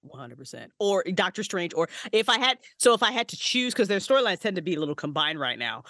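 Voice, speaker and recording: A woman guest on a casual podcast interview, a writer and voice actress, talking in an animated way and swearing freely. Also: fast talker, no room sound, clean studio sound. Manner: animated, swears freely, big pitch jumps when excited.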